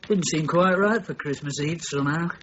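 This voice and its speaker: An actor playing a pedantic villager in a tavern who keeps correcting how the story goes. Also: male voice, on the high side, normal speed, close to an everyday voice. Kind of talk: BBC radio play acting. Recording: slightly dull, hissy radio broadcast.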